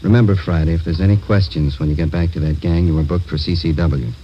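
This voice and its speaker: A muffled old radio drama with faint noise carries an American actor as a police captain. His very deep voice is steady and businesslike, giving orders and facts with plain authority. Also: fast, close to an everyday voice. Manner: matter-of-fact, authoritative. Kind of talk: 1950 radio drama acting.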